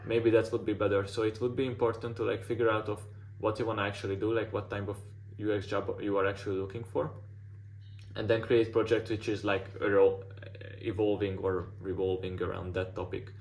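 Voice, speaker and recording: A man's voice: lowish voice, level tone, a little fast, gravelly, a little mellow, a little hiss or hum. A man who is not a native English speaker, giving casual portfolio feedback as a mentor with lots of "like" fillers and restarts.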